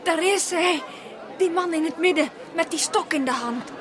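An actress playing an obedient, timid young maidservant in a radio drama, speaking deferentially. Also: female voice, very high-pitched, moderate pace, crowd noise. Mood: excited. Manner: deferential, hesitant.

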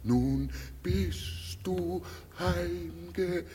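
A man's voice: high-pitched, extremely slow, dark tone, slight background noise. An actor playing a deranged old father who solemnly declaims and chants like a pompous emperor in a German radio play. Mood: ceremonial chanting. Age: older.

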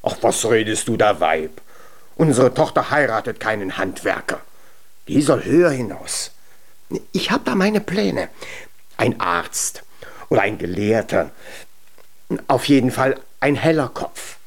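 An actor playing a middle-aged dwarf blacksmith, a gruff, hearty and domineering father in a children's radio play. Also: mid-range voice, sing-song, measured pace, pauses often. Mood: indignant, proud. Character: blustery, ambitious dwarf blacksmith, father of a grown daughter.

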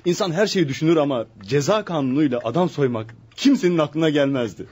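A man's voice: a little high, animated, quick pace, darkish tone, breathy edge. An actor playing a worldly lawyer friend in a radio play, mocking and familiar in his exclamations. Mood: amused, mocking. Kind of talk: Turkish radio theater acting.

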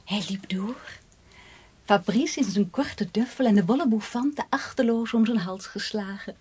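An actress in a Dutch radio drama playing a dreamy, infatuated young aunt who confides in breathy half-whispers to a small child. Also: female voice, medium pitch, unhurried, darkish tone, dry recording. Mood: dreamy, confiding.

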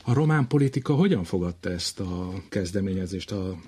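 A man's voice: sits low, lively intonation, darkish tone, slightly rough. A man hosting a radio history programme, speaking in a formal presenting and interviewing style.

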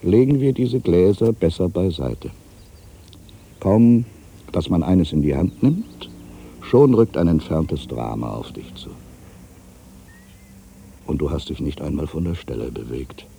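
A man playing a very deep, gravelly aging writer. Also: animated, unhurried, pauses often, warm tone, a little hiss or hum, exaggerated voice. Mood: resigned, brooding. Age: older. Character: aging weary male writer, brooding, wry, solitary observer. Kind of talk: literary dramatic monologue.

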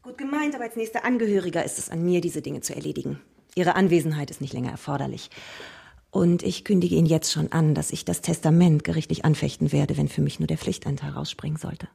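An actress playing a haughty, entitled rich woman in a German radio drama, imperious and clipped, with a husky, gravelly voice. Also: sits low, quick pace, warm tone, exaggerated voice. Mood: cold, threatening.

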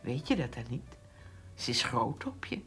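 An actress playing a frail, elderly farm mother in a radio drama, with a husky voice and a plain, motherly manner. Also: rumbling low, very animated, moderate pace, over music, heavily acted. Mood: concerned. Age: older. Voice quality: husky, raspy.

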